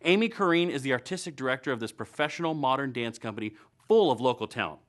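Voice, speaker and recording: A man's voice: medium pitch, animated, quick pace. An American man in his thirties presenting a scripted show to camera with wry, playful asides. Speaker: web series host. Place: indoor studio.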